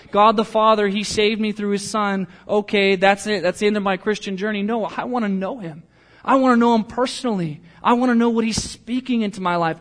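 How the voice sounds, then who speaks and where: A man preaching a sermon as pastor, earnest, building to emphatic peaks and then dropping to quiet, intimate lines. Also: high-pitched.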